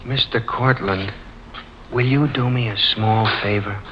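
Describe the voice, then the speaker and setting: An actor playing a nervous, simple-minded, comic male sidekick in plaintive, excitable 1950s radio drama style. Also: on the low side, a little slow, loud music behind the voice, close to an everyday voice. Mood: sheepish, drowsy. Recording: dull, noisy old radio recording.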